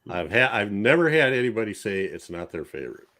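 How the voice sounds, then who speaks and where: A man's voice: lowish voice, big pitch swings, brisk, sharp tone. A man hosting a casual podcast chat, easygoing and leading the segments. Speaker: podcast host.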